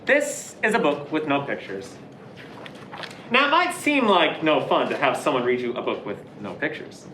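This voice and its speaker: A woman's voice: sits low, sing-song, moderate pace, fairly bright tone, reverberant, a little hiss or hum. A woman reading a children's picture book aloud, playing up mock outrage and dismay. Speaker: storybook reader.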